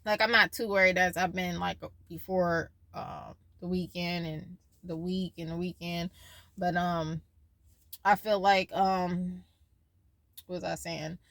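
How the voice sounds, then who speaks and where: A woman's voice: fairly low pitch, level tone, unhurried, a bit bright, no echo. A woman in her twenties talking casually in a personal vlog, rambling and losing her train of thought as she shares her worries and her faith. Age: 20s. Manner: worried, faith-filled musing. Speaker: vlogger.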